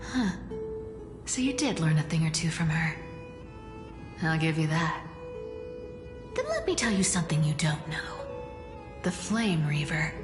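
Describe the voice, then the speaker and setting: A sly, teasing trickster demigod, her lively voice leaping up and down as she mocks and toys with people. She speaks unhurried, drawing out her words, and the voice rings in a roomy space with a long reverb tail. Music plays clearly behind her. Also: sits low. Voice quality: playful, mocking. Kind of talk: game voice acting.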